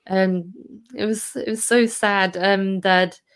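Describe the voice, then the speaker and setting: A woman in her forties from Austria, a podcast guest telling her story candidly, reflective and laughing softly between hard memories. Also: mid-range voice, steady tone, slow pace, clean tone.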